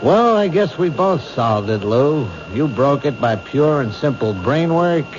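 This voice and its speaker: An actor playing a commanding male police captain in a radio drama, brisk and authoritative. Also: mid-range voice, lively intonation, natural voice. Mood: reflective. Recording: muffled, noisy old radio broadcast.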